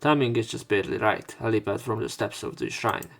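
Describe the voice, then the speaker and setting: Guy reading visual novel lines out loud for a lets play, casual asides, bit of game music under him. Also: lowish voice, big pitch swings, brisk, vocal fry. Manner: informal, swears in asides. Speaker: let's player reading a visual novel aloud. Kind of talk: narrated reading with offhand commentary.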